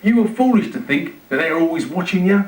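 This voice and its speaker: A man's voice: sits high, animated, fast talker, pitched above the performer's own voice. A man voicing a self-important, self-pitying zookeeper who mutters and exclaims dramatically to himself in a children's storybook. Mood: cheery, relieved. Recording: roomy, distant TV speaker playback.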